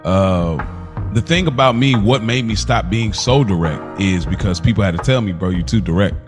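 A man in casual podcast conversation, telling stories in a straightforward, slangy and somewhat halting way. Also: fairly low pitch. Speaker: podcast co-host. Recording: music playing behind the voice. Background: music.

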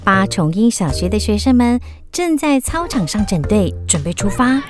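Woman, Taiwanese Mandarin accent, storyteller for children, lively melodic range, music underneath. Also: mid-range voice, moderate pace. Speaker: storyteller and narrator. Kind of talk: scripted narration for children.

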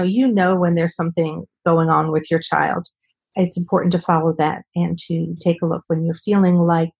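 A woman speech and language specialist, speaking as an interview guest and explaining with calm reassurance. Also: fairly low pitch, vocal fry, slightly rough, lo-fi sound. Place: Southern California.

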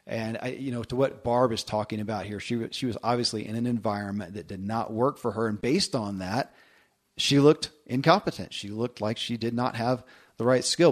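A man hosting a podcast, chatting casually and telling stories with lots of fillers and restarts. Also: mid-range voice. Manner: talkative, many fillers and restarts. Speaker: podcast host. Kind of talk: casual chat reading listener stories.

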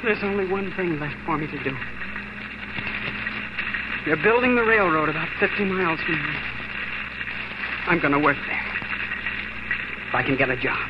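An actor playing a desperate, defeated young homesteader husband in a 1940s radio drama, agitated and halting. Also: male voice, a little high, lively intonation, natural voice. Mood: grimly resolved. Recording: noisy, dull 1940s radio recording.

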